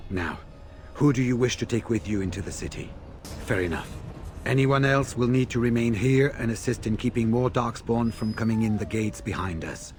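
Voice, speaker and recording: An actor playing a steady, dutiful veteran warrior commander in a video game, measured and formal. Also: male voice, mid-range voice, soft background music, lightly acted. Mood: grave briefing.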